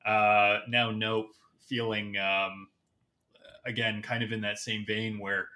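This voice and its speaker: A man's voice: on the low side, level tone, slow pace, sharp tone. A man speaking as a podcast guest, giving a casual film review and searching for his words.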